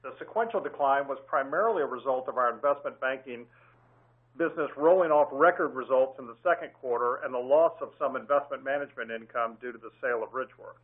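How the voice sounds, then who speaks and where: A man, a bank's chief executive, giving measured, businesslike prepared remarks on an earnings call. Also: mid-range voice, slightly rough. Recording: tinny phone line.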